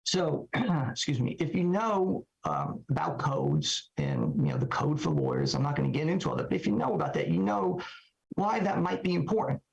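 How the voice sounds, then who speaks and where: A hoarse middle-aged man talks through his thoughts on a podcast, pausing on fillers and asking himself questions. His voice sits a bit high and rasps with heavy fry. The audio is dull and lo-fi. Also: big pitch swings. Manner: halting, many fillers and rhetorical questions. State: hoarse, recovering voice. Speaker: trial lawyer podcast guest. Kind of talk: reflective solo talk.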